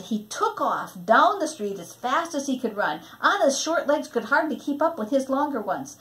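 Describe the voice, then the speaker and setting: A woman's voice: fairly high pitch, rough recording. A woman narrating a children's storybook with dramatic expression, shaping each phrase for tender or suspenseful effect. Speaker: storybook reader.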